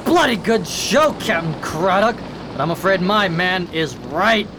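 A man's voice: high-pitched, animated, a little slow, noisy background. A man playing a cruel, mocking pirate captain in a radio drama, theatrical and commanding. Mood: mocking, menacing.

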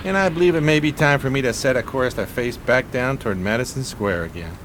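A man's voice: medium pitch, a little fast, noisy background, close to an everyday voice. An actor playing an eloquent, melancholy homeless man of 1920s New York in florid radio-theater style. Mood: resigned, weary. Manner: florid, grandiloquent, theatrical phrasing.

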